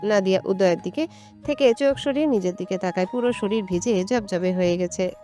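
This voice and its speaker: A woman's voice: mid-range voice, brisk, dark tone, faint music behind. A woman storyteller reading a romantic story as scripted, dramatic narration.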